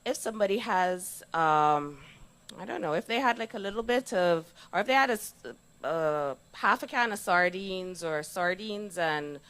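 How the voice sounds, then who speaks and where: A woman with a Barbadian accent speaking conversationally as a doctor being interviewed, explaining things in an animated way and checking in as she goes. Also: on the low side, normal speed, clean tone. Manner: animated explaining, acts out imagined remarks, checks with "yes?".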